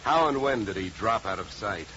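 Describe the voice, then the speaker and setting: An actor playing a wry, hard-boiled insurance investigator in a 1950s American radio drama, narrating and talking in a dry, clipped, wisecracking way. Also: male voice, lowish voice, expressive, normal speed, sharp tone, a little hiss or hum. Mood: cool, probing. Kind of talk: 1950s radio detective drama, first-person narration and dialogue. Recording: dull, lo-fi 1950s radio recording.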